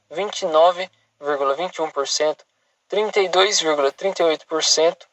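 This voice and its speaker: Bright-voiced Brazilian man reading formally. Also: a little high, no room sound, low-quality recording. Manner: formal, recited reading with occasional stumbles. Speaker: student presenter. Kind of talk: scripted academic presentation read aloud.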